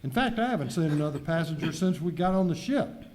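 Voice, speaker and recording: A man's voice: on the high side, expressive, fast talker, a little mellow, a little hiss or hum, natural voice. A man playing a passenger and narrator in an amateur radio skit. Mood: puzzled. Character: puzzled male cruise passenger and radio show narrator.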